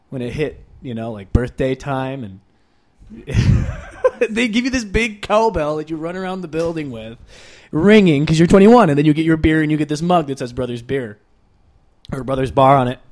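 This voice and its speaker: Young guy, slightly high voice, relaxed storyteller, close mic, small room. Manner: self-deprecating, chuckling anecdotes. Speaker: podcast guest. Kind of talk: casual storytelling. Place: small room with a podcast setup. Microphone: close desk mic.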